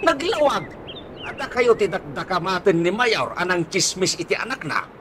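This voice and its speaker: Man playing high-voiced, scolding village captain. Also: very animated, fairly bright tone, slight background noise. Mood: exasperated. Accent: Ilocano. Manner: scolding, drawn-out emphatic cries. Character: exasperated, scolding village captain, loud and bossy. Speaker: voice actor in radio comedy drama. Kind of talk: comedy radio drama acting.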